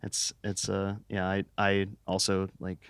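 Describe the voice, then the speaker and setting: Low-voiced young man, joking and profane. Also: monotone, a little slow, a bit bright, clear, resonant tone, no room sound. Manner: joking, profane, laughs a lot. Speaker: band member. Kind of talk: casual interview chat.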